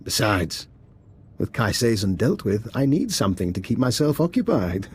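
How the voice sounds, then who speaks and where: An actor playing an eerily calm, politely sinister male doctor for a video game, speaking in a measured, formal way. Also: on the low side, husky, full character voice. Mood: sly, composed. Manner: measured, urbane, formal, understated.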